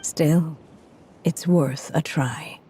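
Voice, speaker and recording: A woman voicing a frail, elderly female writer in a video game, gentle, wistful and resolute, with a breathy, reflective delivery. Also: sits low, a little hiss or hum. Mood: weary, determined. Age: older. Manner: slow, reflective, deliberate. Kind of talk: dramatic video game voice acting.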